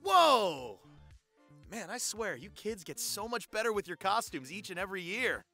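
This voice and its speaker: An actor playing a jovial party guest in an audio drama, playful and exclamatory with drawn-out reactions. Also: male voice, high voice, very animated, bright, forceful tone, soft background music, full character voice. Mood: playfully impressed. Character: jovial party guest greeting trick-or-treating kids.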